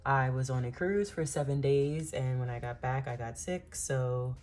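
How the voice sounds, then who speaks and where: A woman in her thirties talks through her digital planning in a relaxed, rambling voice-over full of ums and asides. Her voice sits very low, and she sounds a little stuffy from a cold. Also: moderate pace. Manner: chatty, many ums, Southern-style "y'all". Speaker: planning channel host.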